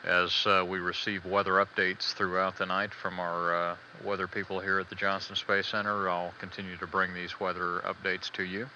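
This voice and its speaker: Man, very bright forceful edge, low pitch, hesitant technical status updates, mission control announcer, dull lo-fi broadcast sound. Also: level tone. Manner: measured, hesitant, matter-of-fact technical delivery. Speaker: mission control public affairs commentator. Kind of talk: live spaceflight status commentary, semi-scripted. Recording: band-limited 1980s broadcast audio, faint noise.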